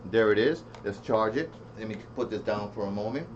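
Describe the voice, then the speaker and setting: A man's voice: lowish voice, fairly quick. A man giving a casual, unscripted product demo, rambling as he thinks aloud and repeats himself. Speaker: product reviewer. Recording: dull lo-fi sound with faint noise.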